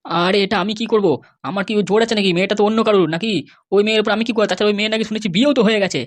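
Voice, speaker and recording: A woman's voice: mid-range voice, fairly even intonation, very fast, lightly acted. A woman voicing a middle-aged father and local politician in a cartoon, exasperated, grumbling and full of bluster. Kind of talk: cartoon dialogue.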